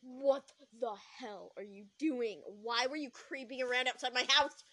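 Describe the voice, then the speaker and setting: Woman as a snappy young man, high voice, emphatic, blunt, exasperated, casual story dialogue. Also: a little slow, fairly bright tone, no room sound. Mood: angry, confrontational.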